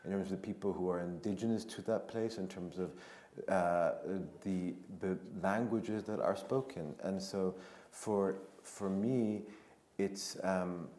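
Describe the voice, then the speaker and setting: Deep, halting man in an echoey room. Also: fairly even intonation, mellow. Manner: halting, deliberate, with repeated words. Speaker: panel speaker. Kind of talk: reflective panel discussion. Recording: echoey room with faint noise.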